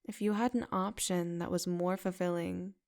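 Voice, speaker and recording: A woman leading a guided meditation in a soft, unhurried, affirming way. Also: fairly low pitch, steady tone.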